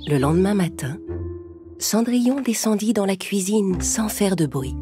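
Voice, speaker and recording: A woman storyteller narrating a scripted fairy tale for children, expressive and clearly phrased. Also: medium pitch, a little slow. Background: music.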